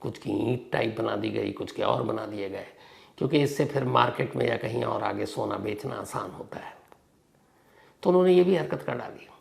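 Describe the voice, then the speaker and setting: A man hosting a crime storytelling show, speaking conversationally with dramatic pauses, strong stresses and phrase endings that drop away. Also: vocal fry. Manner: dramatic pauses and emphatic stresses, sentences trailing off low.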